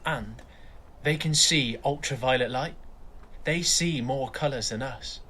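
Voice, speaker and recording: An actor playing a weary, awkward young father who is quietly grieving, in naturalistic audio drama. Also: medium pitch, a little slow, slight background noise. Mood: guarded, wistful.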